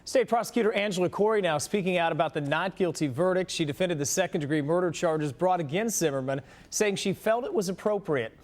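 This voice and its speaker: A man anchoring a local morning TV newscast, reading stories in a brisk, upbeat way. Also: sits high. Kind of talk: scripted news reading.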